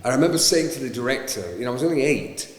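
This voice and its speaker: A man's voice: medium pitch, very fast. Jokey man in an echoey hall. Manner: jokey, interjecting. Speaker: actor. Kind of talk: reminiscing interview.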